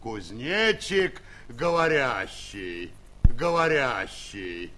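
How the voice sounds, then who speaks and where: A man acting a simple, rustic giant peasant, full of amused, slow-witted wonder, in a fairy-tale audio play. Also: medium pitch, big pitch swings, pushed and bright, slight background noise, exaggerated voice.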